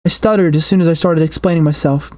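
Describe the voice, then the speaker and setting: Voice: mid-range voice, steady tone, fast talker. A streamer reading a horror story aloud with dramatic expression and the occasional stumble.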